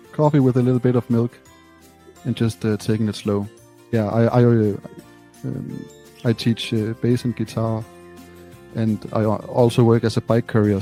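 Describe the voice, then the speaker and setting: Easygoing musician, mellow lowish voice, faint music under. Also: male voice. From Denmark. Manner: relaxed, unhurried, many fillers. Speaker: band member, guest. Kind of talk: casual interview answers.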